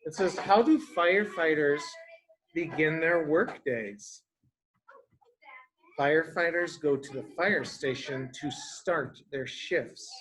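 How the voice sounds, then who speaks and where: A man's voice: a little high, takes it slowly, a bit bright, rough recording. A man reading a picture book aloud to young children as a teacher, explaining things simply and asking them questions.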